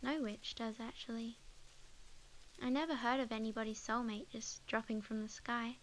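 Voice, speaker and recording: High-voiced, tender young witch, acted by a woman, Australian accent. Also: steady tone, moderate pace, slight background noise, natural voice, low-quality recording. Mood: wistful, musing. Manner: soft, hesitant, sometimes dropping to a whisper. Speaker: ASMR audio roleplay performer. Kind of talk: soft, intimate ASMR romance roleplay.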